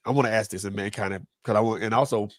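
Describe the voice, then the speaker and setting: A man's voice: on the low side, steady tone, very fast, no echo, studio quality. A man speaking as a guest in a panel discussion, emphatic and preacherly, repeating phrases to drive his points home.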